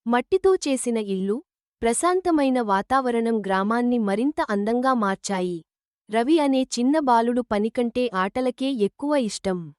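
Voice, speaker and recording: A woman's voice: on the high side, a little mellow, dry recording, clean studio sound. A woman reading a scripted children's moral story as the narrator.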